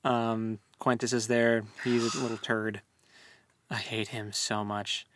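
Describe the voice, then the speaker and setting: A man's voice: fairly low pitch, flat delivery, takes it slowly, fairly bright tone. A man co-hosting a podcast, talking casually and informally about a book with opinionated commentary. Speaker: podcast co-host. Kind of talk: casual book discussion chat.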